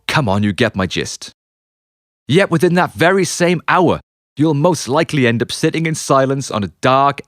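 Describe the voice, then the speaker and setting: A man reading his own memoir as an audiobook, in an expressive, confessional storytelling manner with dramatic pauses. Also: medium pitch, dry recording, studio quality. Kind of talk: scripted memoir narration. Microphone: close, dry studio narration mic.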